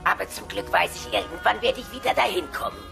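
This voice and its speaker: Creaky, crabby old dinosaur granny. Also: female voice, medium pitch, fast, music in the background. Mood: wistful storytelling. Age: older. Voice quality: creaky, gravelly. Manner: dry, theatrical storytelling. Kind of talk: audio play acting of a TV puppet comedy.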